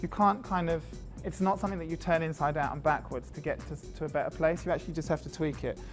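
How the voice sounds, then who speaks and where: A man's voice: a little high, quick pace, soft background music. A man, a fashion creative director, talking casually and at length with many fillers. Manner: chatty, lots of fillers, animated when describing designs. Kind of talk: casual interview talk.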